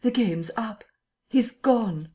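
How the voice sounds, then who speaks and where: A woman's voice: fairly low pitch, moderate pace, dark tone, breathy edge, voice pushed up. Woman doing a frantic guy barking orders, voice pushed way up and hard, now and then dropping to a hiss. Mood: alarmed. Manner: urgent, pressing, breathless commands. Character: agitated man, urgent and insistent.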